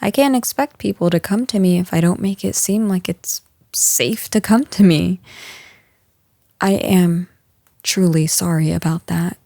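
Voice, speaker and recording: Woman playing a caring female boss, slow-paced, slightly creaky, earnest, hesitant pauses, audio roleplay. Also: lowish voice, dark tone, no room sound, studio quality. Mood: apologetic, regretful. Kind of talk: intimate audio roleplay acting.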